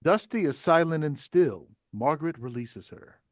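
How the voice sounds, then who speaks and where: Casting: male stage-direction reader, fairly high voice, dry close sound. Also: animated, normal speed, no room sound. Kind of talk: scripted narration at a table read.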